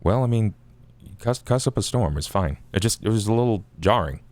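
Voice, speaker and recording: A man's voice: on the low side, expressive, a little fast, darkish tone, no room sound. A man playing a tabletop roleplaying game, mixing casual table talk with roleplay.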